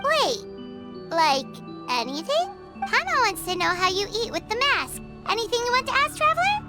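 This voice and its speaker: Squeaky, excitable little fairy. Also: female voice, moderate pace, over loud music. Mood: curious, eager. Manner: chirpy, refers to self in third person. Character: tiny childlike female fairy companion, excitable and curious. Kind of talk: video game voice acting.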